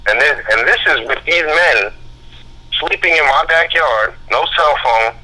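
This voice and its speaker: A man speaking as a guest on a talk radio show, heated and profane, telling his story fast and forcefully. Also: a little high. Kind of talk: angry call-in radio talk. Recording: phone line.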